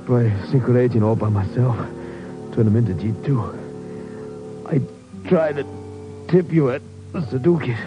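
An actor playing a dying, wry American soldier in a 1950s radio drama, halting and weak, with slangy tough talk. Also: male voice, mid-range voice, natural voice. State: weak, fading, mortally wounded. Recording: muffled old radio recording with music.

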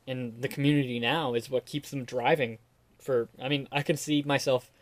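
A man hosts a casual podcast and rambles through his answers with plenty of fillers. His voice sits at an average pitch, and the room sound is dry and close. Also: fairly even intonation, moderate pace, dry recording. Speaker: podcast host.

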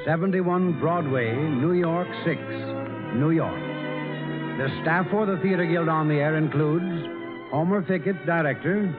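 A man announcing a 1940s radio program from a script in a formal, measured way. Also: on the high side. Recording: tinny old radio broadcast with music.

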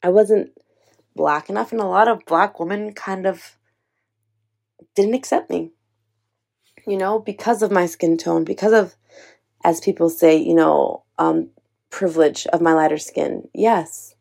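A woman's voice: fairly low pitch, measured pace, gravelly, long pauses, darkish tone. A young adult woman speaking earnestly and encouragingly, talking personally to her listeners and calling them sis. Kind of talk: heartfelt personal talk to camera.

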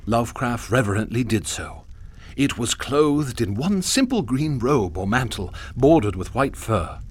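A man narrating a radio drama with vivid, expressive storytelling. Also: mid-range voice, unhurried, breathy edge. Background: music.

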